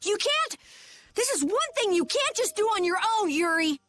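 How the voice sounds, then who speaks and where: Woman playing a young boy, eager and loyal, high bright pitch, wide swings, soft music behind. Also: sing-song, moderate pace, heavily acted. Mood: angry. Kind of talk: video game voice acting.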